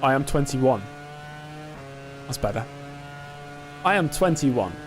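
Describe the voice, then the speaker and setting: A man's voice: medium pitch, animated, slow pace, noisy background. Chatty young man streaming a race. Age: 20s. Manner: chatty, reads and answers chat, self-talk under pressure. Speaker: live-streaming gamer. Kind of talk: casual live-stream commentary while racing.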